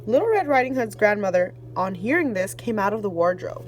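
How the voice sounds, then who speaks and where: A woman's voice: mid-range voice, brisk, faint music behind. A woman reading a children's fairy tale aloud as a storyteller, then talking directly to the kids. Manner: expressive read-aloud, warm sign-off to kids.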